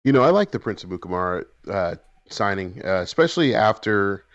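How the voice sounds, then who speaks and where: A man co-hosting a sports podcast, giving his opinions in a measured way with fillers. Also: fairly low pitch, very animated, no echo, muffled recording. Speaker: podcast co-host. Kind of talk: casual sports talk.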